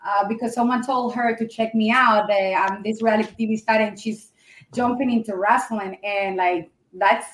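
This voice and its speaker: A woman, talking casually with a podcast host. She often stops to hunt for the right word and laughs quickly. Her mid-range voice bounces around an echoey room. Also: mid-range voice, steady tone, slight background noise. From Colombia. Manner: chatty, searching for words, quick laughs. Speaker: guest, pro wrestler. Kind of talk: casual interview chat.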